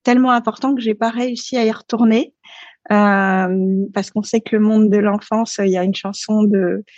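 Guest, woman, 30s, French, halting and reflective, dry slightly dull sound. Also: medium pitch, steady tone, a little mellow, dry recording. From France. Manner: hesitant, many fillers and restarts. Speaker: podcast guest. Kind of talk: casual interview talk.

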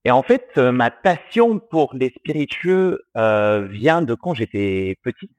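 A man's voice: medium pitch, animated, slow, darkish tone, no room sound. A man in his thirties with an Australian accent, a distiller interviewed as a guest, talking casually with many fillers and restarts.

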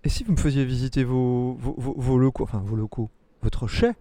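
A man's voice: medium pitch, a little fast, warm tone, no room sound. A man reporting on site, asking curious, playful questions. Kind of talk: on-site interview.

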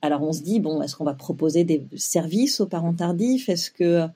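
A woman's voice: on the low side, lively intonation, normal speed, dark tone, breathy edge. A woman in her forties telling her own story as an interview guest, with many fillers and restarts. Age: 40s. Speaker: guest, web publisher.

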